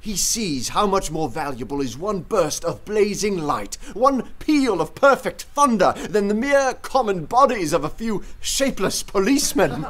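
An actor playing a fiery anarchist poet in a radio play, declaiming with theatrical, scornful rhetoric. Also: male voice, high voice, big pitch swings, measured pace, hardly any pauses, a bit bright, exaggerated voice. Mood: impassioned preaching. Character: fiery red-haired anarchist poet and orator. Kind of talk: radio drama acting.

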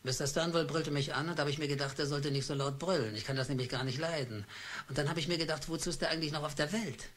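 An actor playing a meek, downtrodden office clerk who narrates his own story, nervously excited and blustering. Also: a little high. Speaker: narrator and lead of a radio play. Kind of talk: radio drama with first-person narration.

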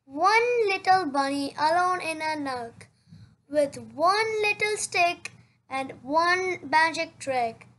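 A very high, bright young voice reads a picture book aloud as storyteller. The reading is very slow and lively, with sound words exclaimed dramatically.

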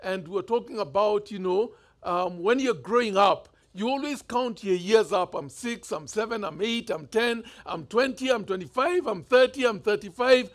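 Kenyan male bishop, 50s, high voice, chatty storytelling sermon. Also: normal speed, few pauses, fairly bright tone. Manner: conversational storytelling, gestures freely. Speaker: bishop preaching. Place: church stage indoors.

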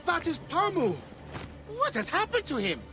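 An actor playing an anxious, excitable male temple priest in a video game. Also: very high voice, moderate pace, over music, exaggerated voice. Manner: excitable, emphatic.

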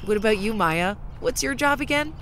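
A woman's voice: on the high side, lively intonation, moderate pace, fairly bright tone, a little hiss or hum. A woman playing a chatty, caring young lawyer in an amateur audio drama. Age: young adult.

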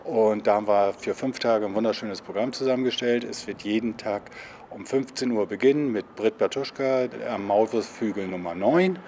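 A man's voice: lowish voice, moderate pace, darkish tone, slight background noise. An older man giving an interview statement as an organiser of a children's programme. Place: outdoors by a garden fence.

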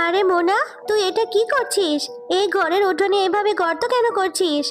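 Woman doing a strict cartoon mum, really high, suspicious n scolding type, music in back. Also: normal speed. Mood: questioning. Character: stern, suspicious mother of a little girl. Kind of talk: children's cartoon story dialogue.

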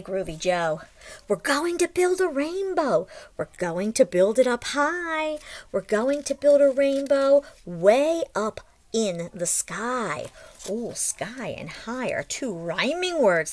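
A woman in her forties reads aloud for young children with a high, widely swinging pitch. She takes her time, in an expressive, sing-song teacher's delivery. The sound is that of a webcam microphone in a small room at home. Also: slow pace. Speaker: teacher reading a picture book aloud.

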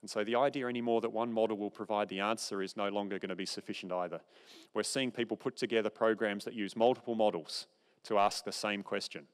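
A man's voice: on the low side, fairly even intonation, fast, clear, resonant tone. Man lecturing in a reverberant hall. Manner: explanatory, informal asides. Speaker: keynote speaker. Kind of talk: conference keynote lecture. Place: large dark auditorium stage. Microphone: podium microphone, far camera.

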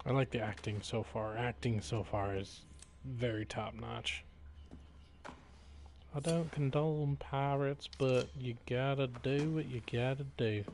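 A man giving casual live gameplay commentary, musing aloud in a jokey, drawn-out way. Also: medium pitch, fairly even intonation, a little mellow, slight background noise. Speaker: game streamer.

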